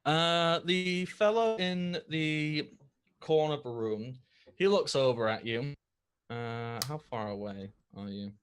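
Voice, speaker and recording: A man running a tabletop game as game master, narrating the action in a lively way. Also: a little high, slow, clean tone.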